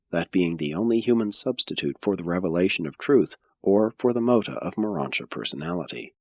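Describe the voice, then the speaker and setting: A man narrating an audiobook from a script, reading in a formal, measured, solemn manner. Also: on the low side, creaky, a little mellow, no echo, dull audio.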